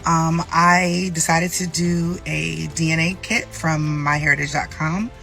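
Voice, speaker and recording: A woman's voice: deep voice, fairly even intonation, unhurried, vocal fry, a bit bright, soft background music. A woman talking casually to camera in a vlog style.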